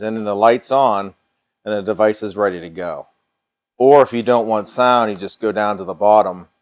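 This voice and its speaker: A man's voice: fairly low pitch, a little mellow. A middle-aged American man hosting a paranormal livestream in a casual, folksy way, repeating himself as he shows a product.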